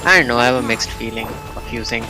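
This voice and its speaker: A man's voice: medium pitch, fast. A man giving casual let's-play commentary as a streamer, thinking aloud with offhand reactions. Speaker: game streamer. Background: game music.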